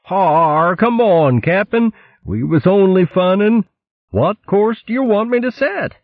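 Dude doing squeaky cackling pirate rats, real high, tinny audio. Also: male voice, expressive, slow pace, dry recording, heavily acted. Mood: wheedling. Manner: cackling, taunting. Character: jeering vermin pirate crewmen, mocking. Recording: tinny lo-fi line.

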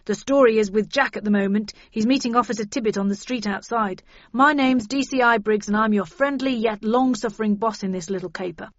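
A woman's voice: fairly high pitch, brisk, no echo, lo-fi sound, close to an everyday voice. A woman voicing a large, jovial male detective chief inspector in an audiobook, hearty and anxious for approval.

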